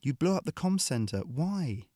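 A man's voice: on the high side, very animated, moderate pace, warm tone, dry recording, clean studio sound, voice pushed up. A man voicing a young, defiant princess who pleads desperately with her captor. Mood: pleading.

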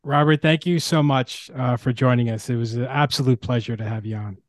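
A man hosting a casual podcast, speaking haltingly and often repeating his words. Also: medium pitch, fairly even intonation, no echo. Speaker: podcast host.

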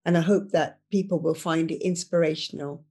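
A woman born in Uganda, a memoir author, introducing herself in an unhurried, hesitant way with many fillers. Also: low voice, fairly even intonation, darkish tone, husky. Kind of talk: casual conversation.

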